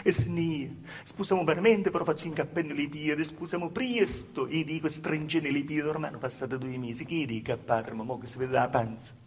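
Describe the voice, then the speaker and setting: A man with a Calabrian accent playing an anxious, wronged young village woman in a halting, repetitive theatre monologue. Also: fairly high pitch, slight background noise, natural voice. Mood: sorrowful, pleading. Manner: halting, repetitive, dropping to hushed asides. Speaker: stage actor performing a monologue. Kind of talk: dialect theatre monologue, storytelling.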